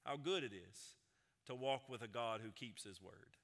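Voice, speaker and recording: A man in his forties, a senior pastor, preaching a sermon from a church stage, swinging between loud proclamation and hushed intensity. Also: medium pitch, moderate pace, gravelly. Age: 40s. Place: large church stage with piano and guitars. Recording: sanctuary with long reverb.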